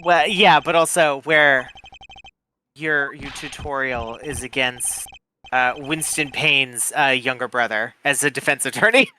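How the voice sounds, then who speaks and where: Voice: mid-range voice, a little slow, bright, forceful tone, no room sound, rough recording. A streamer in casual game commentary, enthusiastic and building up jokes with small hesitations.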